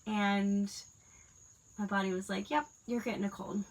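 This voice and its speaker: A woman's voice: mid-range voice, fairly even intonation, a little slow, low-quality recording. A woman with a stuffy head cold, chatting casually and rambling with fillers while she crafts, a mother of school-age kids. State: congested from a cold. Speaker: crafting livestream host.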